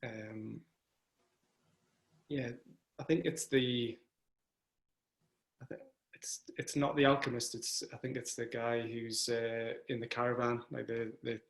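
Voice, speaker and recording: A man co-hosting a casual book chat, talking in a relaxed, hesitant way. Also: mid-range voice, slow pace, creaky, pauses often, reverberant. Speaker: co-host. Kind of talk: casual conversation.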